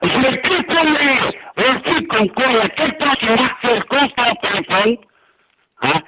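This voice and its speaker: A man's voice: very high voice, expressive, a little slow, very noisy. A man arguing heatedly on a phone call, indignant and threatening, repeating himself. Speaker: prank call target.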